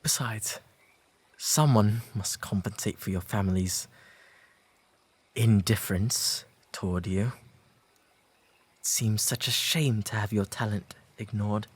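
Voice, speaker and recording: Man acting a slow, breathy English gentleman suitor. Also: on the low side. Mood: earnest, consoling. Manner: formal, halting, nervously sincere. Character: earnest, courteous 19th-century gentleman, shyly smitten suitor. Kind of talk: romantic roleplay audio acting, slow and gentle.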